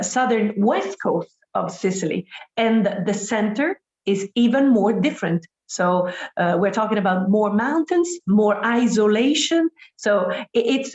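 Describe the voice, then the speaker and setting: A woman's voice: medium pitch, darkish tone, highs cut off. Italian-accented woman in an echoey room. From northern Italy. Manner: hesitant, many fillers and restarts. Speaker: book club co-host. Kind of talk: casual discussion.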